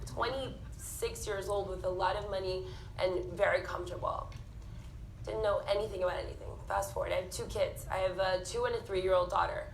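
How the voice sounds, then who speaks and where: A woman in her thirties telling her personal story candidly on a theatre stage, animated and frank. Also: medium pitch, a little fast, gravelly, echoey room, slight background noise, rough recording. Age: 30s. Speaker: panel speaker.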